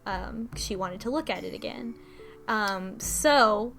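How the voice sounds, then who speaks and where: A woman co-hosting a podcast, casually retelling a story in halting bursts with false starts and some swearing. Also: mid-range voice, gravelly, fairly bright tone, faint music behind. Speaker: podcast co-host recapping a story. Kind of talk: casual conversational plot recap.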